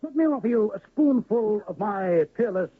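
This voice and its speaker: A grandiose traveling medicine-show huckster in an old radio play, spinning florid patter in a high, husky voice. The sound is thin and narrow, like an old broadcast. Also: male voice, lively intonation, normal speed. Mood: grandiloquent. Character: pompous smooth-talking traveling medicine-show pitchman, male. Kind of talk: 1970s radio drama acting.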